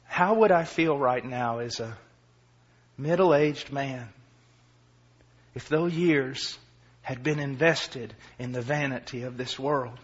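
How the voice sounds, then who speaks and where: A man in his forties preaching a sermon with passion. He moves from quiet, low passages to shouted peaks and mixes in self-deprecating humor. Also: slow, long pauses, breathy edge. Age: 40s. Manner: impassioned, swinging from quiet to shouting. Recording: dull lo-fi recording.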